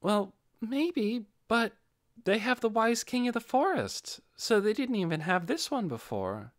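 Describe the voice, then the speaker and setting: A man voicing a worried, halting young boy in a fantasy audiobook. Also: sits high, big pitch swings, no room sound, studio quality, pitched above the performer's own voice. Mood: puzzled, concerned. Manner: halting, uncertain.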